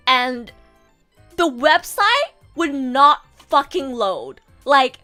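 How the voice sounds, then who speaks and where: Chatty woman streamer with a sore throat. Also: high voice. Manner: chatty, animated, casually swearing, addresses chat. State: sore throat, coming down with a cold. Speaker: VTuber streamer and voice actor. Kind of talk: casual livestream chat.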